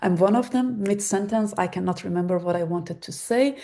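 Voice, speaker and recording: A woman in her forties, a native Persian speaker, giving a webinar talk on medical research in a halting way, with many fillers and restarts. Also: medium pitch, creaky, warm tone. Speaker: webinar presenter. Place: Germany.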